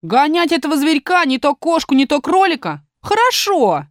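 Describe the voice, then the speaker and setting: A woman voicing a hungry, dusty, scornful and eager yellow male dingo in a storybook reading. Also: high voice, sing-song, moderate pace, no room sound, studio quality, pitched above the performer's own voice. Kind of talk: storybook character voice.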